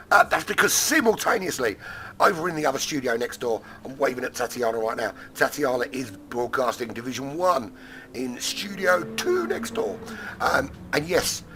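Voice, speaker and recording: Hoarse, squeaky race commentator. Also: male voice, slight background noise. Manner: chatty, many fillers, bursts of excitement. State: hoarse, recovering from lost voice. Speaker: racing league commentator. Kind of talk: live sim-racing stream commentary.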